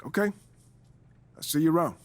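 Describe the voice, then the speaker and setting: An actor playing an earnest, reassuring basketball team associate in a video game. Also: male voice, fairly high pitch, expressive, brisk, no room sound, natural voice. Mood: encouraging. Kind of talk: video game cutscene dialogue.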